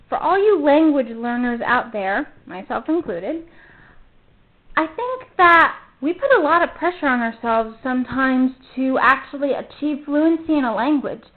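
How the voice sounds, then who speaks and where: A young college-age woman with a high voice talks through her podcast in a loose, rambling way, stopping to correct herself as she goes. The sound is narrow and tinny, like a phone line. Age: about 20. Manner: chatty, rambling, self-correcting. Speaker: podcast host. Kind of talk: casual solo podcast talk.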